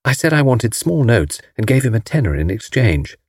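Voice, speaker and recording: A man voicing a bright, cheeky young British wife in a breezy, teasing manner for an audiobook. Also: medium pitch, sing-song, moderate pace, breathy edge, dry recording, studio quality. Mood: brisk.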